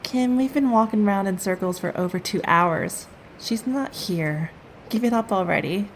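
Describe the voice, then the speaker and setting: An actress playing a practical, impatient teenage heroine in an audio drama, with a casual, down-to-earth manner. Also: female voice, medium pitch, moderate pace, lightly acted, low-quality recording. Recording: faint background noise.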